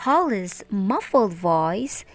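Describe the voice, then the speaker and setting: A woman teacher narrating a children's storybook aloud in a deliberate, expressive way. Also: medium pitch, dry recording, soft background music. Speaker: teacher reading stories aloud.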